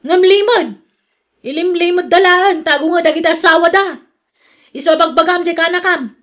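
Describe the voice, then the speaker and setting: Woman playing a high-voiced, jealous, nagging wife. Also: normal speed, fairly bright tone. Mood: indignant, scolding. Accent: Ilocano. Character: jealous, suspicious wife, stubborn and scolding. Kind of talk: Ilocano radio drama acting.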